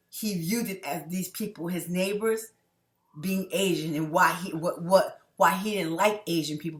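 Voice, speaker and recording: A middle-aged woman from New York City, talking straight to her viewers in a rambling personal monologue. She restarts her sentences a lot and keeps checking in with "okay?". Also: on the low side. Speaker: vlogger.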